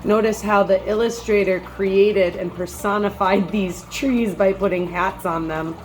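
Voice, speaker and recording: A woman reading a children's picture book aloud like a teacher, with measured, expressive phrasing and brief comments. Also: medium pitch, poor audio.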